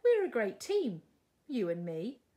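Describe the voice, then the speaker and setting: A woman's voice: fairly high pitch, huge pitch swings, a little slow, mellow. A woman voicing a bold, impatient young storybook princess in a cheeky, exclamatory way. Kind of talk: storybook character reading.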